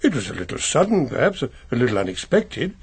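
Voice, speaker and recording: An actor playing a proper, reserved older English family solicitor, precise and a little hesitant, in a radio mystery drama. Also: male voice, mid-range voice, very animated, quick pace, breathy edge, heavily acted. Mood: measured recollection.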